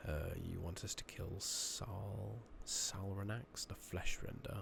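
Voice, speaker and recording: Low, soft-spoken man, slow and gravelly. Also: steady tone, noisy background. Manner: unhurried, murmured, halting musing to himself. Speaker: ASMR gaming streamer. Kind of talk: soft-spoken, whispered ASMR game commentary. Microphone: USB condenser microphone on a tripod with pop filter.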